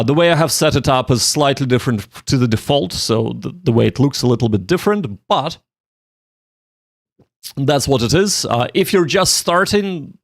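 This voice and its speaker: A man's voice: medium pitch, vocal fry, dry recording, studio quality. A man livestreaming in a casual, somewhat hesitant way, often repeating his words as he goes. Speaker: livestreamer studying Japanese. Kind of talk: casual livestream chat with Japanese reading practice.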